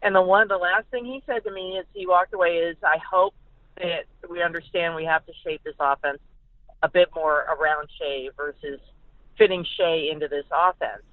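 Female sportswriter phoning in, low voice for a woman, halting casual game analysis, narrow tinny line. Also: dry recording. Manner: halting, repeating words. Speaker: sportswriter guest. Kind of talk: casual football analysis over the phone. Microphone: phone call.

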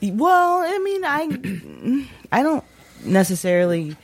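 A woman's voice: sits high, very animated, takes it slowly. A woman chatting casually on a panel show, informal and candid, sometimes hesitant.

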